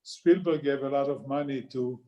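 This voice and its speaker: Israeli-accented man, mid-pitched, earnest and slightly halting. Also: warm tone, highs cut off. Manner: earnest, searching for words. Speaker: book club member. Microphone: video call.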